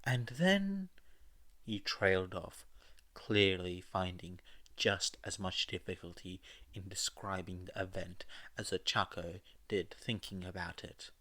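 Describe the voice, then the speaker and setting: Male audiobook narrator, deep register, unhurried delivery of scripted fiction. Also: little pitch movement, sharp tone.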